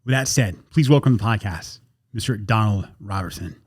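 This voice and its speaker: A man hosting a podcast, talking casually and haltingly, often repeating words as he sets up questions over a video call. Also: on the low side. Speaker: podcast host. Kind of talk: casual podcast intro and interview.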